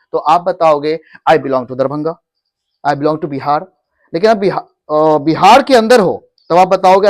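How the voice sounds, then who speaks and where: A man teaching English in to a class, repeating each phrase slowly for students to echo. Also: high voice. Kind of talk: classroom English lesson in Hindi.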